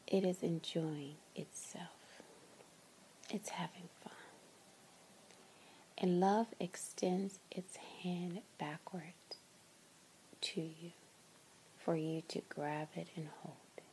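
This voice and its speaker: A woman guides a meditation in a soft, breathy voice that is mostly whispered. Her delivery is extremely slow and gentle, with long pauses between short phrases. The tone is mellow and soothing, over faint ambient noise. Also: on the low side, fairly even intonation, poor audio.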